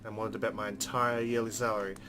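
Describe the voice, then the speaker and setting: A man's voice: on the low side, level tone, quick pace, background noise. A man reading visual novel narration aloud as a let's player, with game music behind him. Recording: music under the voice. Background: game music with plucked guitar.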